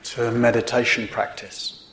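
A man teaching a guided meditation in gently instructive, unhurried phrases with pauses between them. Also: mid-range voice, level tone, slight background noise. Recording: roomy, echoing indoor sound.

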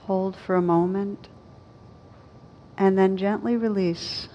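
A woman meditation teacher leading a slow guided meditation in a gentle, soothing, unhurried manner with long pauses. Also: steady tone. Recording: dull lo-fi recording with rumbling background noise.

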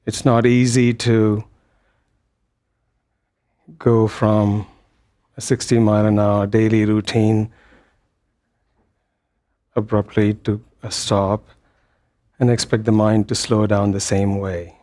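A man leading a guided meditation as a teacher, speaking gently in short phrases with long pauses between them. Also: on the low side, flat delivery. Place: meditation hall.

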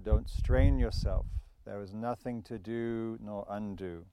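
A man guides a meditation in a slightly low voice, taking his time over every phrase. His delivery is very slow and calm, with long pauses. The recording carries heavy noise behind his voice, as if made outdoors in nature. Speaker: meditation teacher. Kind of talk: guided meditation, reciting a contemplative text. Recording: very noisy outdoor natural ambience, clicks.

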